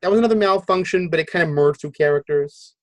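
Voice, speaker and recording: A man with a fairly high-pitched voice hosts a relaxed podcast conversation. He speaks haltingly, working out his thoughts aloud. The sound is very dry, with no room around it. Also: no echo. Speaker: podcast host. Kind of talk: casual podcast discussion.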